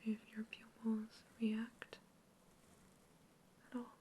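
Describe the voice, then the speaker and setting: A woman voicing a curious female alien scientist who is examining a captive human. She whispers softly throughout the ASMR roleplay and asks clinical, matter-of-fact questions. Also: on the high side, monotone, takes it slowly, slight background noise. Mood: calm curious. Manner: soft unvoiced whispering throughout.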